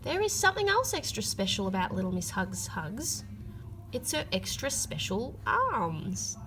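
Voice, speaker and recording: A woman reading a children's picture book aloud, with expressive emphasis and drawn-out key words. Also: medium pitch, a little hiss or hum. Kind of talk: read-aloud storytelling for children.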